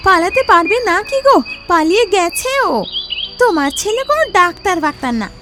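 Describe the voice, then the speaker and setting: Furious neighbour woman: shrill, wildly swooping, accusatory cartoon delivery over music. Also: very animated, brisk. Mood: scornful. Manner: scolding, accusing. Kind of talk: cartoon dialogue.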